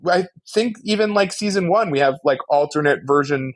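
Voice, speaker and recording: A man co-hosting a podcast, chatting casually in an animated, riffing way and swearing freely. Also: on the high side, fairly quick. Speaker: podcast co-host. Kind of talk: casual chat discussing an audio drama.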